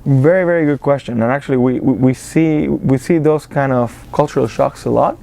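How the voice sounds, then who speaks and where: Argentine man with a mellow voice. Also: medium pitch, a little fast, gravelly, slight background noise. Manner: hesitant, many fillers and restarts. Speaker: interview guest, business accelerator director. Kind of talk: casual interview talk.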